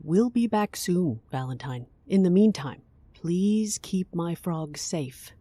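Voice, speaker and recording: Actress as a slow, imperious, exasperated woman. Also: lowish voice, lively intonation, warm tone, no echo. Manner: deliberate, haughty, long-suffering. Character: stern, exasperated, imperious female client with mysterious wares. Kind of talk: audio drama acting.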